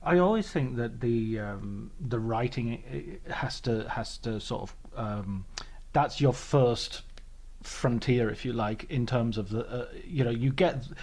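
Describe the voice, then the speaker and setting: A man with a mid-pitched voice hosts a casual podcast interview. He is friendly, thinks aloud as he goes and laughs easily. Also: unhurried, a little mellow, low-quality recording. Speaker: podcast host.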